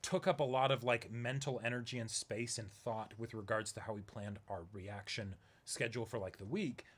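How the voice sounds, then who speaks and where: A man's voice: medium pitch, steady tone, normal speed, a bit bright. A man co-hosting an online reaction channel, explaining things in casual, unscripted talk and often checking that he is followed.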